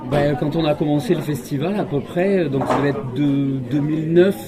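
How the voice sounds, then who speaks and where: A man's voice: a little high, a little fast. A man, a stage actor and reader from France, talking casually in an interview, with lots of fillers and restarts. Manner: chatty, many fillers and restarts. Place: large hall with a crowd. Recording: loud crowd chatter behind.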